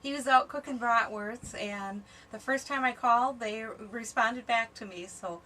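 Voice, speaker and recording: A middle-aged woman chats informally on a vlog. Her voice is fairly high and very bright, and there is faint noise in the recording. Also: unhurried, low-quality recording. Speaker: camping and ham radio vlogger. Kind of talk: casual unscripted vlog chat.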